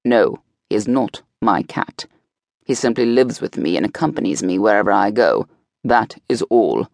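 A woman's voice: extremely low pitch, animated, moderate pace, creaky, darkish tone, no room sound, clean studio sound, heavily acted. A woman voicing a stern, dignified man in an audiobook, speaking formally. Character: stern proud Czech man, dark creature. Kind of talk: audiobook dialogue.

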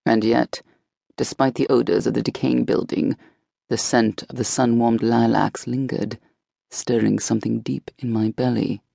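A woman reading an audiobook with expressive, measured narration. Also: extremely low pitch, creaky, dry recording, studio quality. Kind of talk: scripted narration.

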